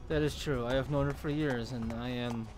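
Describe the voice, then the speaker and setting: A man's voice: medium pitch, fairly even intonation, normal speed. Man, game streamer, mid-range voice, laid-back, rambling, casual commentary, music in the background. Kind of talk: casual live gameplay commentary.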